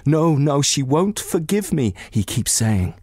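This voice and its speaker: A man voicing a tender, concerned sister-in-law in a novel, soothing, earnest and gently pleading. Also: a little high, very animated, a little slow, slightly rough, dry recording, studio quality, pitched above the performer's own voice, full character voice. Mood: persuasive, pleading.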